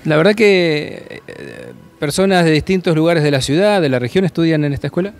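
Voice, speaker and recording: An Argentine man reporting from an indoor event, his voice sitting a little high. He asks question after question in a friendly, upbeat way, and he speaks into a handheld microphone. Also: sing-song, fairly quick, gravelly. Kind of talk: on-the-spot event interview. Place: indoor school hall.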